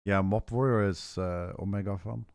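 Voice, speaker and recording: A young man with a deep, low voice talks to his stream viewers in a loose, rambling way. His throat is rough and hoarse, and he keeps clearing it. The sound is dry and close. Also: fairly even intonation, moderate pace, darkish tone, no room sound. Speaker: game streamer. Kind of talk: casual stream chat, answering chat.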